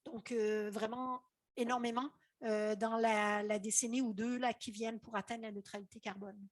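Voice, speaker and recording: A middle-aged woman with a Québécois French accent presents a lecture, her voice sitting a little high. She speaks haltingly, with many fillers and restarts. The sound is close and dry. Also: level tone, dry recording. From Canada. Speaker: climate council chair giving a lecture. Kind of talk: conference talk presenting data.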